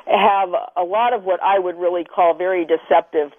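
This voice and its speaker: A woman guest on a radio interview, speaking in a measured, deliberate and earnest way. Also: on the low side, steady tone, no echo. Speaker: radio show guest, activist. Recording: phone line.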